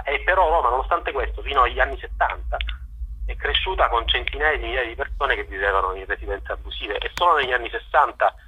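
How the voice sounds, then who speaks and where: A man giving spoken analysis as an interview guest. His voice is very bright and forceful, with a husky rasp. He speaks haltingly, repeating words as he searches for the next one, and sounds like he is on a thin telephone line to a radio programme. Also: mid-range voice.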